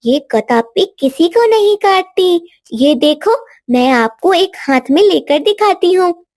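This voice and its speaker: Woman as a very high-pitched innocent little princess. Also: lively intonation, slow pace, a little mellow, full character voice. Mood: innocent, earnest. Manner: childlike, earnest. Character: little princess, innocent, gentle, fond of ants.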